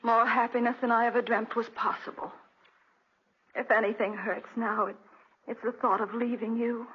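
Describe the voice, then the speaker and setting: An actress playing a frail, devoted, sorrowful wife, tender and halting, in 1940s radio drama style. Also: female voice, a little high, flat delivery, slightly rough. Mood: pleading, resigned. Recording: muffled, noisy 1940s radio recording.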